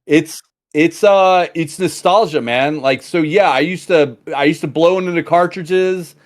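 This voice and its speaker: A man's voice: a little high, unhurried. A man in his late forties chatting casually on an interview, laid-back and slangy. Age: 40s. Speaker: co-guest.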